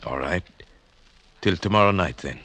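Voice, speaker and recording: Low-voiced, grave man narrating suspense. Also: expressive. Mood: concerned. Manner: measured, deliberate, dramatic. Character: grave, uneasy man narrating his night hunts. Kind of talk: 1940s radio drama acting, suspenseful narration. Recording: vintage 1940s radio broadcast with music.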